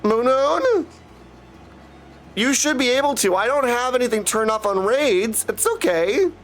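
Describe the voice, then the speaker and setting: A man's voice: very high-pitched, animated, a little slow, slight background noise. A man livestreaming casually, greeting viewers with effusive affection as he chats. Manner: effusive affectionate greetings, often addressing listeners as dudes. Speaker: live streamer greeting chat.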